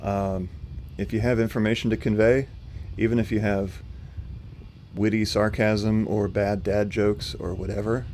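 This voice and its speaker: A man hosting a live stream, answering chat questions in a calm, level, unhurried way with a slightly rough voice. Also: fairly low pitch, slight background noise. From not from North Carolina. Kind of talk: casual livestream commentary answering chat. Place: home.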